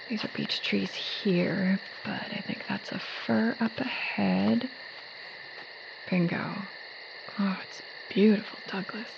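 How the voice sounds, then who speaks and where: A woman playing a jittery forager who records a spooky podcast in an audio drama. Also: mid-range voice. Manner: breathy, hushed asides breaking into panicked cries. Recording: muffled, very noisy outdoor-style field recording.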